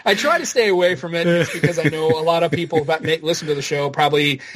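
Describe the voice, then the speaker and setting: A man's voice: fairly high pitch, over a noisy crowd. A man co-hosting a podcast, chatting in an easygoing way with plenty of fillers. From United States. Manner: quick, easygoing, filler-heavy. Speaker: podcast co-host. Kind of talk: casual podcast chat.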